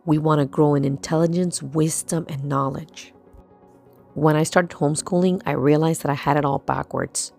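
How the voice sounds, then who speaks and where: A low-voiced woman with noticeable vocal fry narrates a vlog as a mother and host. She speaks in short, emphatic phrases and throws in rhetorical questions, with music behind her. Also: steady tone, moderate pace, darkish tone. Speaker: homeschooling mother and video host. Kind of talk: scripted-sounding narration for a vlog.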